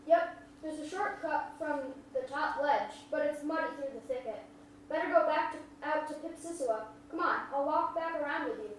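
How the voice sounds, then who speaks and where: An earnest, neighborly young boy from the country, acted by a woman in a play reading. The voice is high and plain-spoken. It sounds distant in a heavily echoing space with faint noise. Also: moderate pace, lightly acted. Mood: eager. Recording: very echoey hall, distant mic, faint noise.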